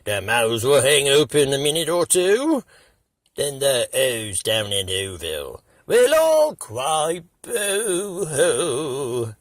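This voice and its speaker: A man's voice: medium pitch, huge pitch swings, slow, no room sound. A man voicing a sly, gleeful, mean Grinch in a storybook reading, with a put-on British-Australian accent. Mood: gloating.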